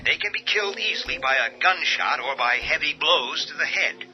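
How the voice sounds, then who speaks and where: Man as a solemn emergency broadcaster, formal, measured warning delivery; muffled lo-fi recording with music behind. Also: fairly high pitch, animated, sharp tone, voice pushed up. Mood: grave. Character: male radio news announcer, grave and official. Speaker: emergency radio announcer. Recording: dull lo-fi with music beneath.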